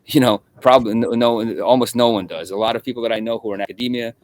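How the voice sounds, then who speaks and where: A middle-aged man from Russia, a guest in an interview, talking in a halting way with many restarts and repeated words. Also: mid-range voice, fairly even intonation. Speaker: guest journalist. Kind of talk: conversational interview.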